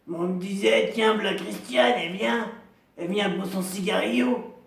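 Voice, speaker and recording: A man playing a lonely, proud woman in her fifties, a chain-smoking heavy drinker with a deep, gravelly voice who rambles slowly and repeats herself in a comic monologue. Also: reverberant, recorded from a distance. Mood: rambling. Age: 50s. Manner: slow, rambling, repetitive, self-pitying.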